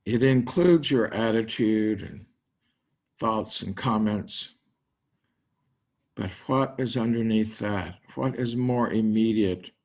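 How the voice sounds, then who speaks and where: An older man leading a guided meditation, speaking in slow, spacious phrases with long pauses. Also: on the low side, darkish tone. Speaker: meditation teacher.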